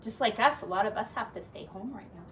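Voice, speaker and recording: A woman teacher reading a picture book to young children expressively, with gentle, simple asides, recorded on a device set back from her. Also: medium pitch, expressive, quick pace. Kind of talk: picture-book read-aloud with chatty asides. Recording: distant mic with faint background noise.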